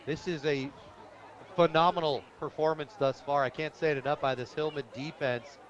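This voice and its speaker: High-voiced American man calling football, lo-fi radio. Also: steady tone, moderate pace. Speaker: radio play-by-play announcer. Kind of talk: live American football play-by-play commentary. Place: outdoors at a football stadium. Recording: dull lo-fi outdoor radio broadcast.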